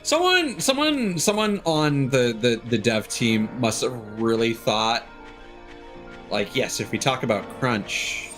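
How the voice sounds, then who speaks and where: A man's voice: mid-range voice, very animated, slow pace, fairly bright tone, faint music behind. A man doing casual, wry game commentary as he streams, muttering asides to himself.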